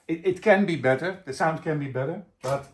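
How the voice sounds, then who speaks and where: Middle-aged man in halting, casual chat. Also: a little high. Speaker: video host. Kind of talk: casual gear review chat.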